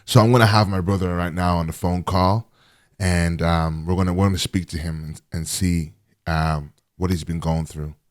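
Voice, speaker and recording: A man hosting a call-in interview show, talking in an earnest, measured way with frequent fillers. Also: deep voice, fairly even intonation, dry recording. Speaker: host.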